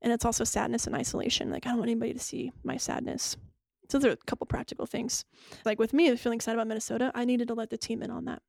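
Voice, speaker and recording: A young woman co-hosts a casual faith podcast, explaining points one by one and laughing easily. Her voice is higher and gravelly with vocal fry. The recording is dry and close. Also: little pitch movement, very fast, dry recording. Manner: warm, laughing, explains step by step. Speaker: podcast co-host.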